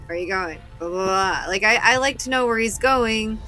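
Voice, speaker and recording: A woman's voice: mid-range voice, fairly quick, sharp tone. An American woman in middle age hosting a casual chat, talking in a blunt, joking, sweary way. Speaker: podcast host.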